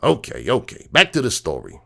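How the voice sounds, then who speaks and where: A man playing a gruff, streetwise crook horse uncle who tells bedtime stories in a slangy, cynical, digressing way. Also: mid-range voice, wildly swooping pitch, a little fast, a bit bright, exaggerated voice. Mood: wry, matter-of-fact. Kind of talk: dramatic reading of a comic bedtime story. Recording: music bed behind the voice.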